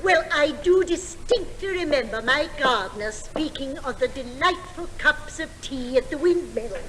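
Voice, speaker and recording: Older matinee lady, genteel, earnest, high voice, 1950s comedy stage, heavy recording noise. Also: expressive, normal speed. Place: large theatre stage. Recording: very noisy 1950s theatre recording.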